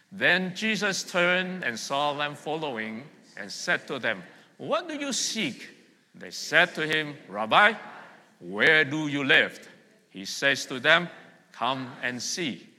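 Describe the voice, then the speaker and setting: A middle-aged man from Vietnam gives a sermon in a church hall, and his voice rings out through a long, echoing reverb. His tone is very bright and a little high for a man. He keeps it conversational, putting searching questions to the congregation. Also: very animated, slow pace. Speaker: pastor. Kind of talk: sermon with congregation call-and-response.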